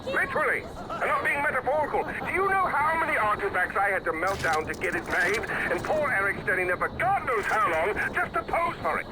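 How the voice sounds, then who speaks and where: An actor playing a flamboyant, smug tyrant king who mocks and threatens at a drawn-out, theatrical pace. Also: sits high, sing-song, heavy background noise. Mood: mocking. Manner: mocking, theatrical, drawn-out menace. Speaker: game villain. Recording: hall reverb with loud music.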